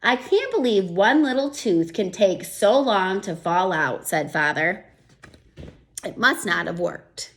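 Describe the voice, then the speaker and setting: A woman's voice: mid-range voice, slow. A woman reading a children's picture book aloud and stopping to explain her thinking, like a teacher. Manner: gentle, expressive storybook reading with thinking-aloud asides. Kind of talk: children's read-aloud with comments.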